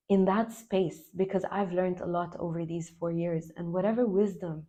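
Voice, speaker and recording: A woman in her thirties from Lebanon, raised in the UAE, talking to camera as a self-love coach in an earnest, slow and emphatic way. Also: lowish voice, mellow. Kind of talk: spiritual vlog talk to camera.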